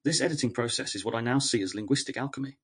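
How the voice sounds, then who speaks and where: A man reading a scripted, reflective essay in a measured, earnest way with short, deliberate phrases. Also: mid-range voice, fairly bright tone, husky. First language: English. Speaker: narrator of an educational essay series.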